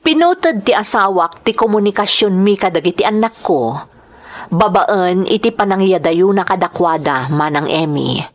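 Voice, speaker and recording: A woman's voice: medium pitch, animated, normal speed, lightly acted. A woman acting an emotional, wronged wife and mother in a radio drama, with an Ilocano accent. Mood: sorrowful, resolute. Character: distressed wife and mother, wronged by her husband. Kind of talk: radio drama acting and first-person narration.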